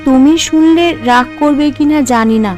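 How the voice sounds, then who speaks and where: Woman acting high-voiced earnest young cartoon housewife. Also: moderate pace, a little mellow, faint music behind, natural voice. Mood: placating. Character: earnest, anxious young village housewife, devoted to her family.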